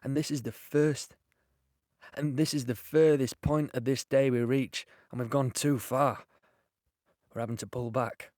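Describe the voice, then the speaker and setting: An actor playing a young British soldier, earnest and shaken, recalling the war haltingly in an audio drama. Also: male voice, mid-range voice, fairly even intonation, a little mellow, dry recording, studio quality, lightly acted. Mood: urgent. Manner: halting, plain-spoken, reflective. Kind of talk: audio drama monologue, conversational recollection.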